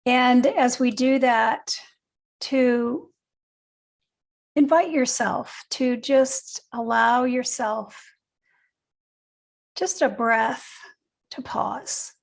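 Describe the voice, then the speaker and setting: An older woman guides a meditation in a slightly high voice with plenty of creak. She speaks very slowly and gently, leaving long pauses between short phrases. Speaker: guided meditation teacher, nurse and educator.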